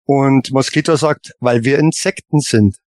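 A man talking casually on a podcast, halting often as he builds his point or story. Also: mid-range voice, darkish tone, no echo, clean studio sound. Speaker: podcast panelist. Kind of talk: casual podcast chat and anecdote telling.

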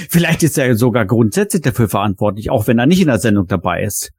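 A man's voice: medium pitch, sing-song, fairly quick, a little mellow, breathy edge, no room sound. A man hosting a fan podcast in a casual, conversational style. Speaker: podcast host.

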